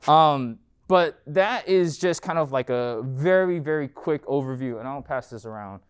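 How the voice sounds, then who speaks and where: A man's voice: mid-range voice, animated, measured pace, clear, resonant tone. An American man giving an informal guest lecture as an entrepreneur, conversational and often checking in with "right?". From United States. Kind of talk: informal talk and Q&A.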